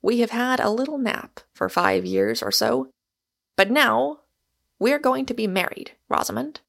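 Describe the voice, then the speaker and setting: An exuberant storybook Irishman, acted out by a woman, argues and woos in emphatic, declamatory speeches. The voice is pitched fairly high for the part, with some creak in it. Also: a little slow, fairly bright tone, full character voice. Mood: ponderous, emphatic. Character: ardent, emphatic Irishman, exuberant and argumentative suitor.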